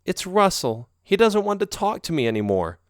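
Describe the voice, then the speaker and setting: A man's voice: high voice, sing-song, moderate pace, no room sound, studio quality, voice pushed up. A man voicing an emotional, lovesick young heroine in a read-aloud romance story. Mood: sad.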